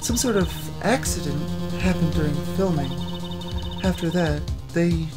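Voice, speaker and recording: Woman voicing a meek, slow-talking young woman. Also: lowish voice, loud music behind the voice, pitched below the performer's own voice, lightly acted. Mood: hesitant, confiding. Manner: hesitant, halting, soft-spoken. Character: meek, apologetic young woman working at a studio. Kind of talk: voiced visual novel game dialogue.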